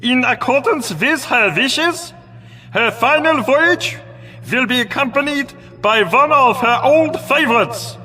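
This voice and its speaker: A bossy little alien villain, slow and menacing, big echoey hall with music behind. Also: sits high, bright, forceful tone, full character voice. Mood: pompous. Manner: imperious, threatening, mixes in German words. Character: bossy menacing alien villain child, grandson of a queen, mock-German. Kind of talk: full-cast audio drama acting.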